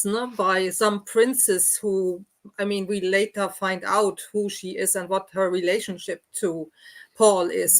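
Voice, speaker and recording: A woman's voice: mid-range voice, a bit bright, rough recording. A woman discussing books casually, often checking her points with short tag questions. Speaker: host of a book read-along discussion.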